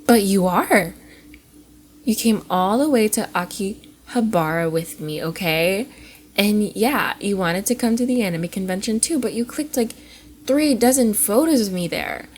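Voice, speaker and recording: A woman playing a flustered, affectionate young cosplayer in an intimate ASMR roleplay, coy and giggly, often dropping into soft breathy murmurs. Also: mid-range voice, expressive, unhurried, a little hiss or hum, natural voice. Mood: touched, affectionate. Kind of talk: ASMR roleplay, intimate one-sided dialogue to the listener.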